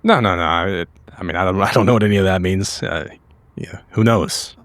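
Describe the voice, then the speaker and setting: A man's voice: deep voice, a little fast, fairly bright tone, no room sound. A man in casual improvised roleplay at a tabletop game, hesitant and repeating his words. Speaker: player in a tabletop game podcast.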